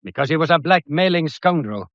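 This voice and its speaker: An actor playing a shrewd, methodical police detective in a British period mystery drama, courteous and lecturing, with a faintly theatrical delivery. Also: male voice, fairly high pitch, lively intonation, fairly quick, fairly bright tone, no room sound, lo-fi sound. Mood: pointed, deliberate. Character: shrewd, methodical male police detective laying out a murder case.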